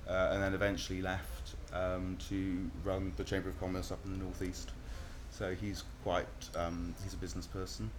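A man in his thirties with a Northern English accent, answering interview questions in a relaxed, hesitant way with many ums and restarts. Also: deep voice, normal speed, few pauses. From North East England. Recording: clear background noise.

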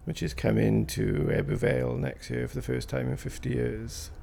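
Gravelly fifty-year-old Welsh man. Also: medium pitch, fairly even intonation, normal speed, mellow, a little hiss or hum. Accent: South Wales Valleys. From South Wales. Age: 50s. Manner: hesitant, rambling with fillers and restarts. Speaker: interviewee. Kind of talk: recorded interview.

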